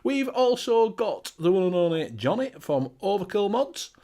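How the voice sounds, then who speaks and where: A man with a Northern English accent hosts a laid-back live online show, his voice sitting fairly high for a man. He chats loosely, swears freely and runs through a list of viewers' names. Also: very animated, normal speed, mellow. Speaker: show host. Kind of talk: casual live-stream chat.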